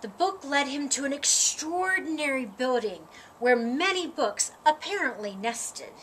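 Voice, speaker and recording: A woman reading a children's story aloud, with expressive, deliberate phrasing and dramatic emphasis. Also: high-pitched, low-quality recording. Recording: audible background noise.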